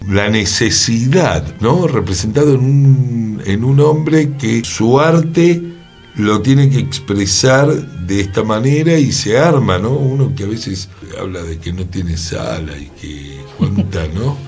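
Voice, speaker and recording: Expressive lower-voiced Argentine man in discussion. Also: takes it slowly, soft background music. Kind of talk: conversational radio discussion.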